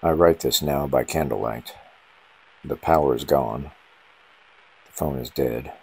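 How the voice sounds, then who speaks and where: Very deep, gravelly male horror narrator. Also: darkish tone. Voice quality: gravelly, husky. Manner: slow, ominous, short dramatic phrases. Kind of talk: scripted first-person horror narration.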